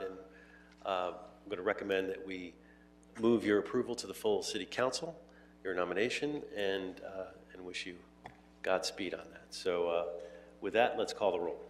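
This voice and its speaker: A man runs a city committee hearing in a low voice. He speaks loosely, repeating words and filling gaps with small hesitations. A faint hum sits behind him. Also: fairly bright tone. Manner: informal, repeats words, many uh fillers. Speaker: committee chair. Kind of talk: chairing a public hearing.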